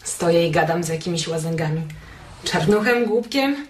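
An actress playing a bored, lonely wife in a radio drama, brash and mocking, with a provocative edge. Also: female voice, on the low side, expressive, normal speed, lightly acted. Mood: mocking contempt. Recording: roomy, noisy radio play recording.

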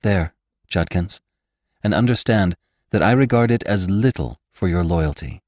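A man's voice: deep voice, lively intonation, measured pace, no echo, studio quality, voice pushed up. A man reading the lines of a troubled, wealthy rancher woman in an earnest, pleading way.

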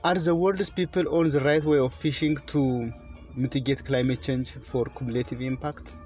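A middle-aged man from Ethiopia with an Ethiopian accent gives a formal, deliberate prepared speech to camera inside a car. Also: mid-range voice, fairly even intonation, darkish tone, dull audio, soft background music. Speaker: presenter, children's author.

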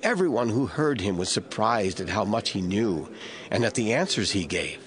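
A man giving measured, scripted Bible narration in a storytelling manner. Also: lowish voice, lively intonation.

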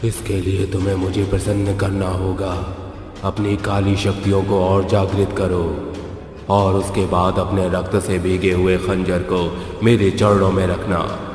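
A towering demon god speaks in slow, grave pronouncements. His voice is extremely deep and flat, and it rolls through a long echoing reverb. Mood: commanding. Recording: heavy echo reverb.

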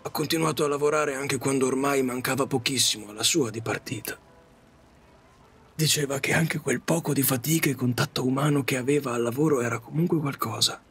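A man playing a middle-aged widowed taxi driver in an audio drama, confessing his regrets in a halting, repetitive, self-reproaching way. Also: medium pitch, fairly even intonation, fairly bright tone, breathy edge. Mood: grieving, remorseful. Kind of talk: Italian audio drama monologue.

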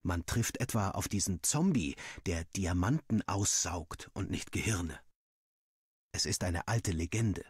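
A man's voice: sits low, animated, unhurried, husky, dry recording, studio quality, heavily acted. A man playing a young student villager who narrates his diary in a wry, dramatic, self-mocking way, as a scripted game story. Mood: joking, embarrassed.

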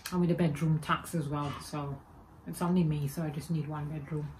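A woman's voice: low-pitched, steady tone, fairly quick, darkish tone, slight background noise, low-quality recording. A woman in her forties with a Birmingham accent carrying Jamaican and Egyptian traces, chatting to camera at home in a rambling, self-correcting way. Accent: Birmingham English with Jamaican and Egyptian traces. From England. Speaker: vlogger. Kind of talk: casual chat to camera. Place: home room with spooky shelves, indoors. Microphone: webcam or phone camera at desk.

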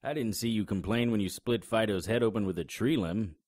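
An actor in an audio drama playing a cynical, sardonic, hard-boiled private investigator with a terse delivery. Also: male voice, lowish voice, brisk, a little mellow, no room sound, studio quality, close to an everyday voice. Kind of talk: audio drama acting with noir narration.

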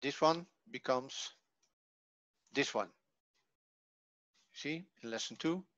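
Man, online teacher, slow pace, bright tone, halting explanatory delivery. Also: mid-range voice, no room sound, highs cut off. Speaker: Hebrew teacher. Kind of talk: online lesson, reading and explaining a textbook. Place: online video call.

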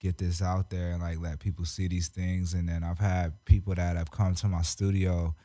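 A young man from Japan chats on a radio show in a deep, mellow voice, drawing out his words in relaxed slang. His voice sits close and dry on a studio boom mic. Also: no echo. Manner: laid-back, slangy, drawn-out phrases. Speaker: rapper and artist guest. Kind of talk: casual interview chat. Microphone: studio mic on boom arm.

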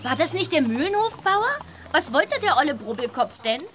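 An actress playing an eager, caring young horse-riding girl in a children's audio drama, with a lively manner. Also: high voice, moderate pace, slight background noise. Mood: excited, curious.

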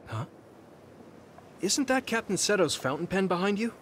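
An actor playing an earnest, polite, slightly awkward young soldier in an anime-style video game, speaking hesitantly. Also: male voice, high-pitched, big pitch swings, moderate pace, fairly bright tone, slight background noise. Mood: puzzled, insistent.

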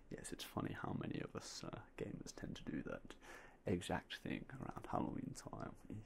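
A young man softly whispering in a polite ASMR roleplay as a game store clerk, close to a binaural mic. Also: deep voice, huge pitch swings, moderate pace, vocal fry, slight background noise. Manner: soft, polite, mostly unvoiced whispering.

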